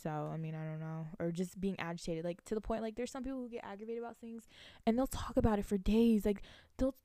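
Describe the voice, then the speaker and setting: A woman in her late teens with a soft-edged, mellow voice at an average pitch. She rambles casually to her viewers, giggly and slangy, thinking out loud. The sound is close and dry, with no room echo. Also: fast. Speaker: vlogger, college student. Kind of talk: casual solo chat to viewers.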